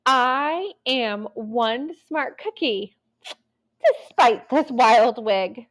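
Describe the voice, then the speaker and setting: A woman's voice: on the high side, lively intonation, very slow. Woman voicing a sassy singsong gingerbread girl. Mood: playful, boastful. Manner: singsong rhyming taunts. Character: sassy, confident young gingerbread cookie girl, taunting in singsong. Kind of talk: children's storybook read-aloud.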